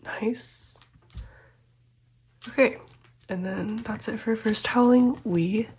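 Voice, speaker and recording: A young woman who is slightly sick and congested, talking gently and often half-whispering through a collecting vlog, with frequent ums and small laughs. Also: fairly high pitch, slow pace, a little hiss or hum. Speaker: photocard collecting vlogger.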